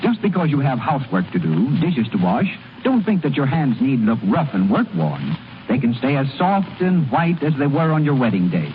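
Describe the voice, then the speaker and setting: A man's voice: mid-range voice, sing-song, moderate pace. A man reading a scripted 1930s radio advertisement as a commercial announcer. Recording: tinny, hissy old radio broadcast.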